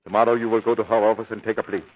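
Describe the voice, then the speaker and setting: A thin, tinny 1930s radio recording holds an actor's performance of a male criminal hypnotist. His voice is on the low side. He is domineering and condescending, every line meant to menace and control. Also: flat delivery, very fast, lightly acted. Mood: controlled menace. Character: smooth-talking, domineering criminal hypnotist, megalomaniac man. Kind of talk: 1930s radio villain acting.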